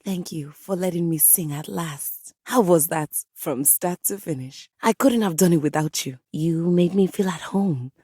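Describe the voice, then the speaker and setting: A woman's voice: on the low side, unhurried, few pauses, mellow, breathy edge, dry recording, studio quality. A woman playing a passionate piano come to life in a video game, a haughty, dramatic composer with florid, formal diction. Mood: warm, grateful. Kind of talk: video game character voice acting.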